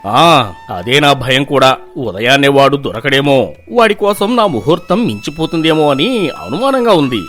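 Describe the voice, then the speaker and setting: A man's voice: medium pitch, sing-song, moderate pace, fairly bright tone, faint music behind, voice pushed down. A man voicing an imperious, superstitious village landlord in a storybook tale, commanding and threatening. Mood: stern, impatient. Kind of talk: animated storybook dialogue.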